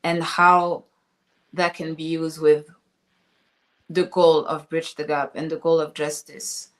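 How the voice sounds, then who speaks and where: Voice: medium pitch, little pitch movement, takes it slowly. A host politely asking long, winding interview questions during a live conversation.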